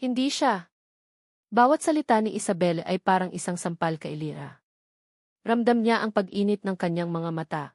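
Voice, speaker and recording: A woman's voice: lowish voice, animated, moderate pace, darkish tone, no echo. Dramatic woman narrator, dry recording. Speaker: story narrator.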